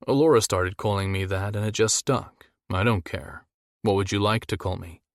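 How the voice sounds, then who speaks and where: A confident, seductive male lover from a romance audiobook, played by a man. He speaks low and intimately with earnest devotion, in a dry, close sound. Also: steady tone, normal speed, dry recording, natural voice. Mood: easygoing.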